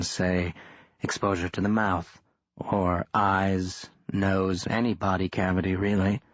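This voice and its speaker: A man voicing a large, cool-headed male monster hunter who talks in a dry lecturing monotone. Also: low-pitched, dry recording, highs cut off.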